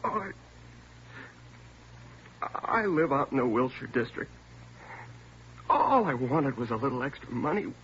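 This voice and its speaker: An actor playing a scared twenty-year-old car thief in a 1950s radio drama, sullen at first and then pleading. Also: male voice, medium pitch, sing-song, a little slow, pauses often, a bit bright. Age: young adult. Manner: sullen and curt, breaking into frantic pleading. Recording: dull old radio broadcast with faint hiss.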